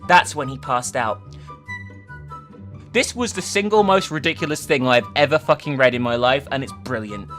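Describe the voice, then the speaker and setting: A woman's voice: rumbling low, normal speed, a bit bright, soft background music. A woman giving a theatrical, emphatic dramatic reading of a story, as a host who also comments casually. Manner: theatrical, emphatic, sweary in asides.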